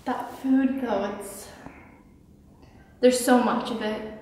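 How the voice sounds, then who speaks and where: A woman of medium pitch chats casually and without hurry. She is heard from a distance in a very echoey hall. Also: steady tone, slow pace, dark tone. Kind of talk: casual interview chat. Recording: echoey hall, distant mic, faint noise.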